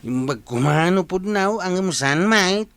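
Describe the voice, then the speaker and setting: A man's voice: high voice, animated, a little slow, a bit bright, smooth, full character voice. A man with an Ilocano accent playing a grumbling villager fed up with gossip in a comedy radio drama.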